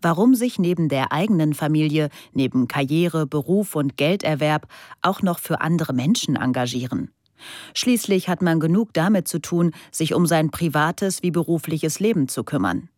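A woman's voice: sits low, expressive, normal speed, darkish tone, dry recording, clean studio sound. A woman delivering composed, scripted narration for a radio feature.

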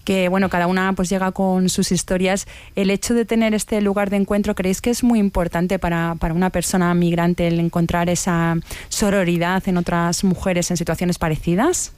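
A woman's voice: on the low side, little pitch movement, normal speed, darkish tone, clear, resonant tone, dry recording. Spanish woman hosting a radio interview. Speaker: radio host interviewing guests. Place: radio studio.

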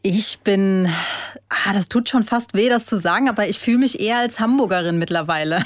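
A woman's voice: medium pitch, brisk, no echo, studio quality. Cheerful woman with a light Hessian accent. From Hesse, Germany. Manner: cheerful, laughs often, some hesitations. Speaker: guest, table football world champion. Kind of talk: radio interview.

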